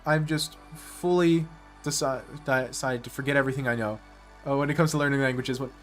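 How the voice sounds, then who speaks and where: A man's voice: mid-range voice, fairly quick, fairly bright tone, soft background music. A man streaming live and chatting casually with viewers, rambling and correcting himself mid-sentence with nerdy enthusiasm. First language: English.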